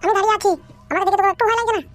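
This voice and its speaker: A woman's voice: very high voice, steady tone, very fast. A woman voicing a boastful but helpful, childlike ghost in a cartoon comedy, with an exclamatory delivery. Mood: boastful, threatening. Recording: music behind the voice.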